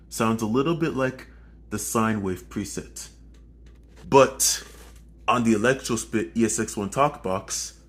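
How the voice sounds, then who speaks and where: A man giving a casual hands-on music gear demo to viewers, halting as he goes, often repeating and correcting himself. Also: on the low side. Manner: halting, repetitive, self-correcting. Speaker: video host demonstrating music gear.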